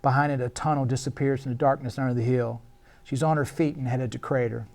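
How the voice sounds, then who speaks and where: A man's voice: medium pitch, fairly even intonation, quick pace, vocal fry, a little mellow. A man reading stage directions as narrator at a live screenplay table read, in a scripted narration style.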